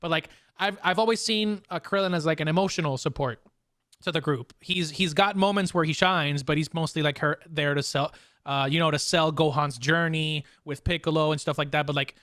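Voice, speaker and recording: A man hosting a casual fan discussion, talking informally and excitably, often repeating phrases as he goes. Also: on the high side, fairly quick, no echo, studio quality. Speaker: podcast host.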